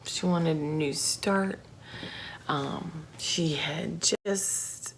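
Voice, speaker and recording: A woman's voice: low-pitched, extremely slow, vocal fry, slight background noise. A woman telling true crime stories in an informal, conversational vlog style, with slangy asides. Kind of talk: casual true crime storytelling.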